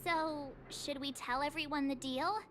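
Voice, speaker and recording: A woman's voice: high voice, fairly even intonation, a little slow, music in the background. A woman playing a perky, outspoken teenage girl adventurer in a video game, bubbly and casual. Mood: curious, chatty.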